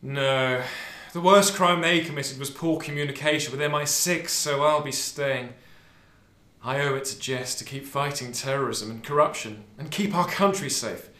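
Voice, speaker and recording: A man's voice: medium pitch, normal speed, pushed and bright, lightly acted. Man playing a grieving agent, echoey room. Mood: resolute. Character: grieving, determined male intelligence agent bent on justice. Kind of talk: conversational radio drama acting.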